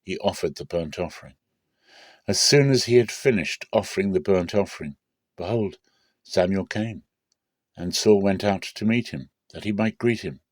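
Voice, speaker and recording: A man narrates scripture in a low voice with a dry, close sound. His delivery is measured and deliberate, shaped as a dramatic reading. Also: no echo. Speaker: Bible reader.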